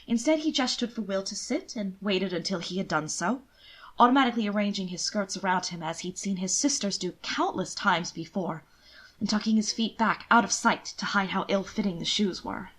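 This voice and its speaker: A woman reads fiction aloud at a middle pitch. Her delivery is scripted and expressive, in the manner of an amateur audiobook narrator telling a dramatic period tale. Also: moderate pace.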